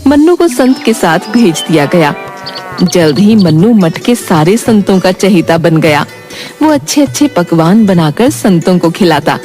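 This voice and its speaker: A woman's voice: on the low side, very animated, unhurried, dark tone, faint music behind. A woman narrating a scripted bedtime moral story.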